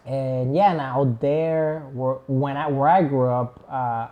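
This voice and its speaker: A man's voice: mid-range voice, steady tone, takes it slowly, phone-quality sound. A young man from Puerto Rico, a guest on a podcast, chatting casually and rambling with many fillers and restarts. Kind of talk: casual chat.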